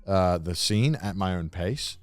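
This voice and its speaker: A man's voice: low-pitched, expressive, measured pace, no echo, faint music behind. A man co-hosting a podcast, explaining his opinions in casual, slangy chat. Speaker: podcast co-host; voice actor.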